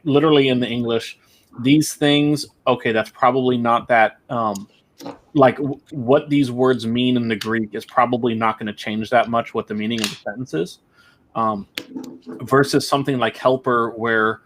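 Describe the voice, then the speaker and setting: A man on a video call explaining how he chooses words to study, thinking aloud through examples. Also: mid-range voice. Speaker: panelist, software coach. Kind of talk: casual explanation.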